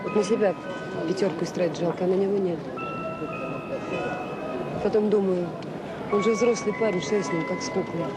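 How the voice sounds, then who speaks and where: A woman's voice: medium pitch, steady tone, a little fast, long pauses, close to an everyday voice. An actress playing an anxious, devoted middle-aged mother confiding plaintively in a radio drama. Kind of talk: naturalistic Soviet radio drama acting. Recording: old radio play under loud music. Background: loud mallet-percussion music.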